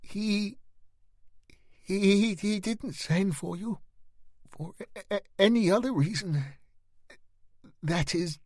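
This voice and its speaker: A man's voice: medium pitch, big pitch swings, normal speed, long pauses, a bit bright, breathy edge, no room sound, clean studio sound. A man voicing a nervous, guilty young man who stammers and pleads shakily. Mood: anxious pleading. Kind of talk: audiobook character voice.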